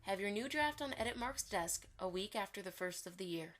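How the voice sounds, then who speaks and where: A woman's voice: medium pitch, animated, fairly quick, fairly bright tone. A woman playing a stern, commanding mare who runs a publishing house, lecturing bluntly in a fan audio drama. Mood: cold, warning. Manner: firm, lecturing.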